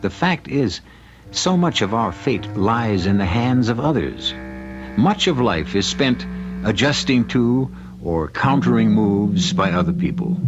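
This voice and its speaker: A suave old-time radio host narrates a mystery in a deep voice. The delivery is deliberate, wry and theatrical. The sound is muffled, with music underneath. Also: male voice.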